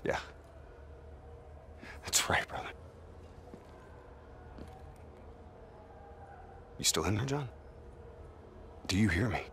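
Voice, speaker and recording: A man playing a shaken, confused soldier in a video game, with a gravelly, halting, hushed voice. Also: fairly low pitch, lively intonation, a little hiss or hum, heavily acted. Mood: wary. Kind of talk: dramatic video game cutscene acting.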